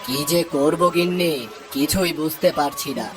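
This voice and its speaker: A man voicing a troubled, caring village husband and father in a cartoon story, earnest and expressive. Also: fairly high pitch, normal speed, natural voice. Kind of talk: dramatic cartoon story dub. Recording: loud music under the voice.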